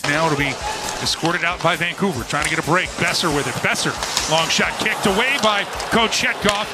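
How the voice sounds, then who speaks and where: A man calling live hockey play-by-play on the radio with emphatic, excited delivery. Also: on the high side, normal speed, over loud music.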